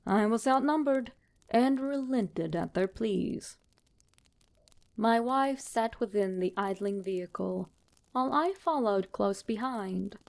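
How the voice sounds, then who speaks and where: A woman reading a scripted horror story as a narrator, in a measured, dramatic manner. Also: medium pitch, gravelly, a little mellow.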